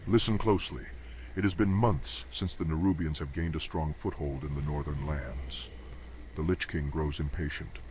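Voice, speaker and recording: A man's voice: extremely low pitch, steady tone, normal speed, darkish tone, slight background noise. A man playing an imperious, impatient demonic overlord who gives threatening commands in a video game cutscene. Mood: cold, impatient.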